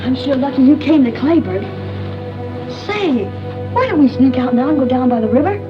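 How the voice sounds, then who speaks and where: A woman's voice: sits high, big pitch swings, normal speed. An actress playing a chatty, restless young boy in a 1940s radio thriller, with an eager, gossipy manner. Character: chatty, restless young boy who dodges his strict mother. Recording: muffled 1940s radio recording with music.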